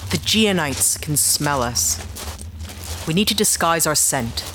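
A woman's voice: low voice, expressive, slow, noisy background. An actress playing a resolute, guarded woman who leads a sci-fi crew, terse and practical in an audio drama. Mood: terse, steady.

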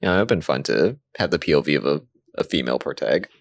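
A man's voice: a bass voice, lively intonation, quick pace, gravelly, dry recording. A man chatting casually about video games as a podcast co-host.

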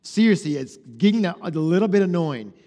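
Pastor, 40s, male, slightly high voice, chatty storytelling sermon, long hall reverb. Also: very animated, brisk, dark tone. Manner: conversational storytelling, acts out dialogue.

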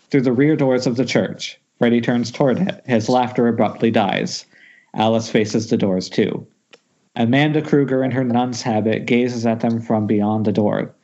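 A man narrates screenplay directions in a mellow, somewhat raspy mid-range voice, occasionally tripping on words. It sounds dull, as if over an internet call. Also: medium pitch. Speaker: narrator reading stage directions. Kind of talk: screenplay table read. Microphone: Skype call.

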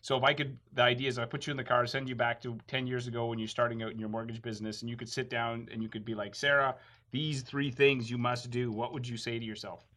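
A man hosting a podcast interview, chatty and joking while firing off quick questions. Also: mid-range voice, little pitch movement, sharp tone. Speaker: podcast host.

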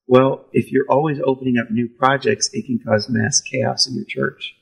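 A man's voice: medium pitch, little pitch movement, normal speed, creaky, breathy edge, low-quality recording. A pastor, a man, telling candid stories about his preaching in a self-deprecating way, laughing easily. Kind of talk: candid conversational storytelling.

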